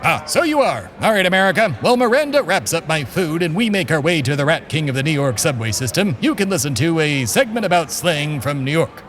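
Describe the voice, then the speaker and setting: An actor playing a pompous, amoral billionaire who embodies America and hosts a comedy audio drama, with formal, theatrical diction. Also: male voice, a little high, sing-song, a little fast, few pauses, a bit bright. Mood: smug. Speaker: show host. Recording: reverberant hall, music behind.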